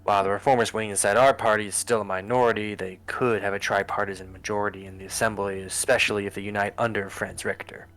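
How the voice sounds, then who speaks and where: A man voicing a formal government adviser who briefs the president in a measured, expository way in a political game. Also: fairly low pitch, flat delivery, fairly bright tone, poor audio. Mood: formal briefing. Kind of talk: scripted political game voice-over. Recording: music under the voice. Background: background music.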